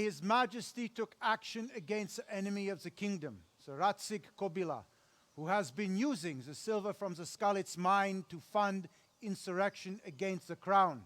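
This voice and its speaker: An actor playing a haughty noble knight and royal envoy in a video game, declaiming in a formal manner. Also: male voice, high voice, fairly bright tone. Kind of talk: scripted video game cutscene acting.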